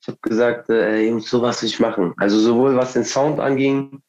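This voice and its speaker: A man's voice: mid-range voice, fairly even intonation, brisk, darkish tone. A man talking as a guest musician in a casual, slangy chat, with slightly dull sound. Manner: colloquial, slangy.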